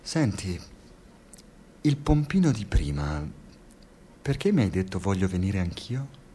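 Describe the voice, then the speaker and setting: An actor playing a talkative, insecure intellectual researcher in an Italian radio drama, with an Italian accent, rambling and needling in a naturalistic way. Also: male voice, low voice, big pitch swings, measured pace, warm tone, slight background noise. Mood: nervy, probing.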